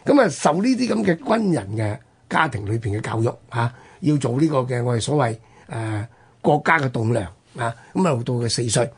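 A man's voice: medium pitch, lively intonation, normal speed, husky. A man with a Hong Kong Cantonese accent telling history conversationally as a radio host, explaining with rhetorical questions and interjections.

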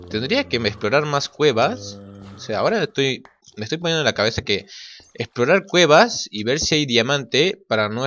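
Man from Argentina, lowish voice, casual gaming commentary, thinking aloud, noisy recording with clicks. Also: fairly bright tone. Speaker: gaming video creator. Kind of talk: casual let's play commentary. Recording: muffled lo-fi mic, clear noise and clicks.

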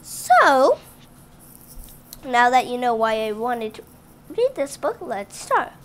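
Female read-aloud host, high and bouncy pitch, unhurried, halting reading with chatty asides; phone mic over a tabletop at home. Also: big pitch swings, a little hiss or hum. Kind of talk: reading a picture book aloud to children. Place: indoors at home, book on a table.

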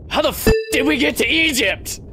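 A woman's voice: sits high, expressive, moderate pace, creaky, over music. A woman voicing a cocky, hot-headed male echidna brawler, blunt and offhand, in a comic game fan dub.

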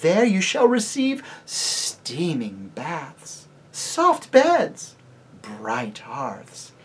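A woman's voice: sits low, wildly swooping pitch, very slow, slightly rough, heavily acted. A woman voicing a gracious noble lady on horseback who trills her R's and speaks in lilting, old-fashioned courtly phrases. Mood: gracious persuasive. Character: sweet-voiced enchanting lady on horseback, grand and gracious.

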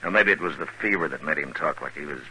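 Western marshal, very low bright voice, steady, weary, plainspoken, old radio drama. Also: male voice, full character voice. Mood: dry, concerned. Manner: plainspoken, measured. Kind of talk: 1950s radio western acting. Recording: noisy old 1950s radio recording.

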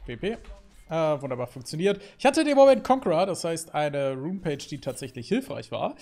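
A man in his thirties commentates his own gameplay from a home desk setup. His voice sits a little high and swings widely in pitch as he gets excited, with frequent filler sounds between thoughts. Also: fairly quick, soft background music. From Germany. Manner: lively, excitable, talks through play with fillers. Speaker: gaming YouTuber. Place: home streaming setup, indoors.

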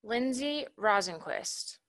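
A woman formally reading out graduate names as a commencement announcer, in a measured, clearly enunciated way. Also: fairly high pitch, animated, fairly bright tone.

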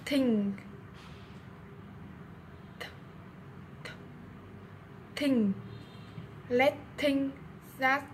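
A woman's voice: high voice, a little hiss or hum. A young Vietnamese woman reading her English pronunciation homework aloud, word by word, stumbling and repeating sounds. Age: young adult. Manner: slow, word-by-word practice with stumbles. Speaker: language student. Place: small bathroom, indoors. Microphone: webcam or phone at arm's length.

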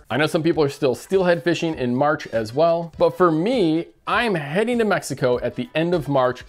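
A middle-aged man, hosting a casual, enthusiastic shop update vlog, talking plainly into a lapel mic in a small indoor studio. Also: on the high side. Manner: upbeat, enthusiastic, plain-spoken with fishing slang. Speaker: fly shop owner and host.

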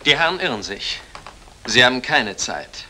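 A stern, principled husband from a vintage radio crime play. His voice is medium in pitch with a forceful, bright edge, and he speaks in curt commands. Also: sing-song, normal speed, slight background noise. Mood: stern, firm. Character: stern, upright husband holding burglars at gunpoint. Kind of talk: 1960s German crime radio play acting.

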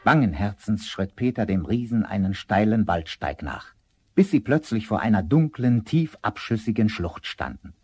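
A man's voice: on the low side, expressive, moderate pace, a little mellow, faint music behind. A man narrating a fairy tale as a scripted storyteller for a radio play.

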